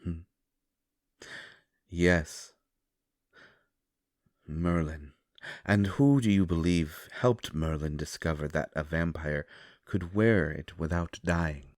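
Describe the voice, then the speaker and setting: A man voicing an ancient, courteous vampire who speaks with formal, measured, deliberate phrasing in an audio roleplay. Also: low-pitched, darkish tone, studio quality. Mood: grave, comforting. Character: ancient, courteous, knowing male vampire speaking softly and gravely. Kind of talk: scripted immersive audio roleplay. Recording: roomy echo, faint music behind. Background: faint music.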